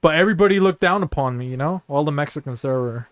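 A young man with a high voice rattles off jokes at a quick clip, padding his lines with "you know what I mean". He sounds like a podcast co-host in loose banter. The mic is close and dry. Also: expressive, dry recording. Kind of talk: casual banter.

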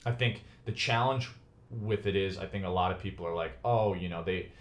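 A very low-pitched man in his forties, originally from Chicago, speaking as a guest in a long, reflective interview. He talks earnestly and thoughtfully, restarting his phrases as he reaches for the right words. His voice carries a roomy echo. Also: moderate pace. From United States (Chicago). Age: 40s. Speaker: podcast guest.

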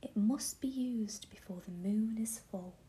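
A woman voicing a gracious, commanding fairy queen in a soft whisper. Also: mid-range voice, a little slow, slightly rough. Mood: stately. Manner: whispered, stately.

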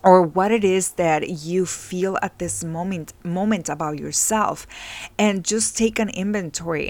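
Woman from mexico, lowish voice, earnest chatty healing talk, dry sound. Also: no echo. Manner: earnest, reflective, many "like" and "right?" fillers. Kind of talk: casual motivational talk.

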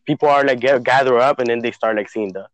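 A man's voice: medium pitch, quick pace, dry recording, low-quality recording. A young man from Honduras co-hosting a casual podcast chat, rambling with many fillers and slipping into Spanish words. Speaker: podcast co-host.

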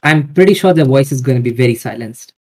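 A man answers interview questions in a hesitant, hedging way, often restarting with "I mean". His voice is mellow and warm, at a middle pitch. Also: animated, quick pace. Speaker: podcast guest.

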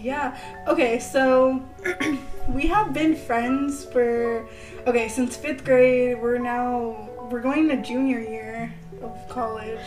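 A woman's voice: on the high side, steady tone, takes it slowly, recorded from a distance, music in the background, rough recording. A woman in her twenties hosting a casual podcast, chatty and giggly, full of "like" fillers. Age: 20s. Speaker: podcast host. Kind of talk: casual chat.

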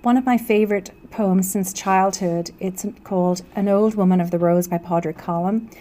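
A woman's voice: mid-range voice, steady tone, normal speed, mellow, soft background music. A woman, a teacher reading poetry aloud.

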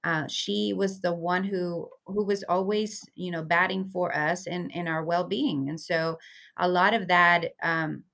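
Woman from venezuela, interview guest, earnest, reflective, slightly low voice. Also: steady tone, measured pace, gravelly, fairly bright tone. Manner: earnest, reflective, with frequent fillers. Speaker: guest, community liaison. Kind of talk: conversational interview.

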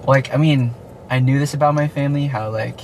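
A man's voice: mid-range voice, fairly even intonation, a little fast, a little mellow, a little hiss or hum. A man hosting a casual faith podcast, talking hesitantly with laughs and trailing-off sentences. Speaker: podcast host.

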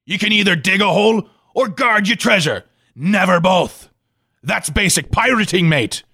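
An actor playing a surly, boastful pirate captain with a rough, gravelly voice and a stage pirate accent in a comic 1940s-style radio play. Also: male voice, high-pitched, animated, moderate pace, pushed and bright, no room sound, studio quality, heavily acted. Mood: gruff, haughty. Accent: put-on stage pirate.

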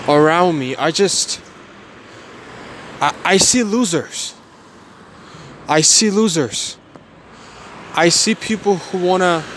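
Young man, early twenties, slightly high voice, emphatic and repetitive motivational monologue, faint background noise.

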